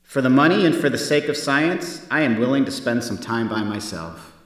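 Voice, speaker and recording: A man playing a solitary, wry space station commander in a science fiction audio drama, with a casual, expressive delivery. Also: mid-range voice, normal speed, lightly acted. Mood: stiff, insistent.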